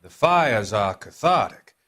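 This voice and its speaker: An actor playing a cold, manipulative doctor in a crime drama game, clinical and controlling in manner. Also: male voice, fairly low pitch, very animated, takes it slowly, a bit bright, heavily acted. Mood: cold, evasive. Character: cold, manipulative male doctor, calmly evasive.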